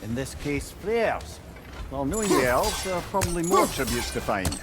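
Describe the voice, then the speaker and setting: An actor with a Scottish accent playing a wry, learned older companion who tells stories drily in a video game. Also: male voice, fairly high pitch, sing-song, moderate pace, heavy background noise. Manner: dry, wry, storytelling. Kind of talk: video game dramatic dialogue.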